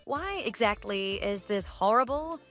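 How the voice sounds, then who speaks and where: A woman acts out a fed-up young office worker in an online radio drama. She is blunt and scornful, with dry sarcasm and an average-pitched voice over background music. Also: lively intonation, unhurried, a bit bright. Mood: cool, firm.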